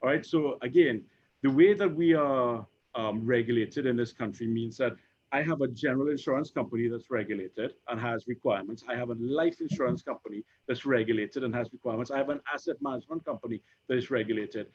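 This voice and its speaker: A man's voice: mid-range voice, a little mellow, slightly rough. Trini guy in his 50s, stumbly repeats and lots of ums, mid voice, muffled lo-fi audio. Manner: halting, repeats words, many fillers. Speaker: insurance operations executive, panel guest. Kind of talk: conversational panel discussion. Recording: dull lo-fi recording.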